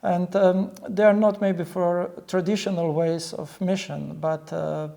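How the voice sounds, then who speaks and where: Guy pastor being interviewed, higher-ish mellow voice, earnest, kinda halting. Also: fairly even intonation, creaky.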